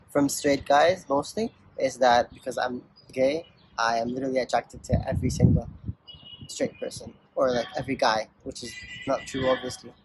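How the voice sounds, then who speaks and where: A teenage young man talks candidly and matter-of-factly. His voice has a gravelly edge, with a faint room ambience behind it. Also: mid-range voice, slight background noise, rough recording. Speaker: interviewee. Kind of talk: casual talk.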